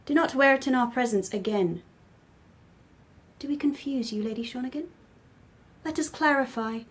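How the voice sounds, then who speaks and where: An actress playing a tiny, cold, imperious young empress in a radio drama, haughty, formal and breathy. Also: female voice, high-pitched, normal speed. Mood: commanding, disdainful. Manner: haughty, clipped, royal formality.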